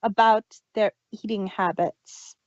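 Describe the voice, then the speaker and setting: A woman's voice: mid-range voice, fairly even intonation, slow, a little mellow, no room sound, muffled recording. A woman co-hosting an audio drama review podcast, talking in a thoughtful, earnest way. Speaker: podcast co-host. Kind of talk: casual review chat.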